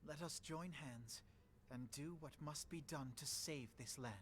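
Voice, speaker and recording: A man's voice: fairly high pitch, unhurried, a bit bright, slight background noise. An actor voicing an idealistic young male commander with formal, lofty, old-fashioned phrasing in a video game. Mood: humble, resolute. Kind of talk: scripted game voice acting, oratory.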